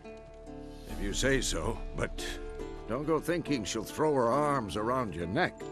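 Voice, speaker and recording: Old warrior mentor, fantasy game: wry, weary, grumbling, very lively intonation over a music bed. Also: male voice, mid-range voice. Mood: resigned. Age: older. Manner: measured, wry, grumbling, pausing for effect. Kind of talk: video game acting.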